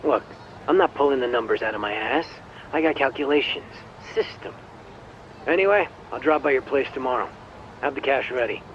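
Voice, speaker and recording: An actor playing a smug, corrupt police detective who sells information, breezy and teasing, in an English video game dub. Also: male voice, mid-range voice, brisk, long pauses, dull audio, background noise, natural voice. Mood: casual, businesslike. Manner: breezy, cocky, wry, teasing.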